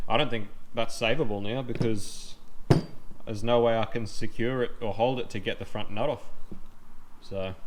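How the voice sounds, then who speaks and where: A man's voice: mid-range voice, a bit bright, rough recording. A man with an Australian accent giving casual hands-on vlog commentary in a blunt, laid-back, slangy way. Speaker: host of a DIY car build vlog. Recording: clear background noise on amateur video.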